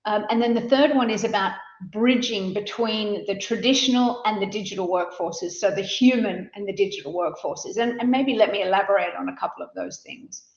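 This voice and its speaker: A woman's voice: mid-range voice, a little fast, few pauses. A middle-aged Australian woman speaking as a panelist in a webinar, fluent but with frequent fillers and repeated words. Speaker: advisory leader, webinar panelist. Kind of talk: webinar presentation. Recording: muffled audio, roomy echo.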